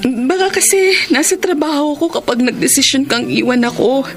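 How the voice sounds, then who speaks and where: A high female voice, a devoted lover in a radio drama who pleads softly, near tears. Music sits clearly behind her. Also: expressive, moderate pace. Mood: tender. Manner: soft, pleading, tearful. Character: Alice, a devoted woman ready to let go.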